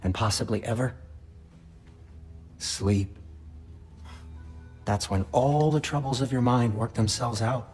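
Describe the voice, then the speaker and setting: An actor playing a gentle, courteous middle-aged advisor in a video game, measured and politely wry. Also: male voice, medium pitch, soft background music, close to an everyday voice. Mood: kindly, wry. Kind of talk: video game cutscene acting.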